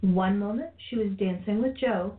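A woman's voice: mid-range voice, moderate pace. Woman narrator on phone-thin recording. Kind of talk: scripted radio play narration. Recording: phone-like narrow band, music behind.

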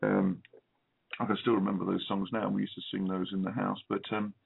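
Gravelly english guy on a tinny phone line, lots of ums, husky low voice. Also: flat delivery, a little fast. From England. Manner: hesitant, many ums and restarts. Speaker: guest musician. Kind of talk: phone interview. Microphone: telephone.

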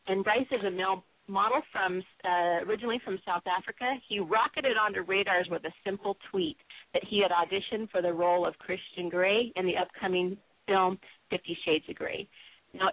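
A woman's voice: medium pitch, dry recording, narrow, tinny audio. A woman hosting a conversational radio interview show.

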